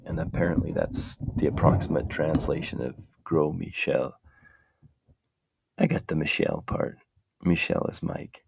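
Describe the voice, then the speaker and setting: Deep gravelly man, slow rambling chat. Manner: meandering, muses aloud while browsing. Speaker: podcast host.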